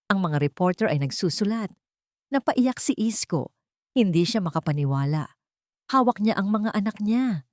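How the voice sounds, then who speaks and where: A woman's voice: lowish voice, no room sound, clean studio sound. A woman with a Filipino accent reading a scripted, sentimental romantic drama as a storyteller.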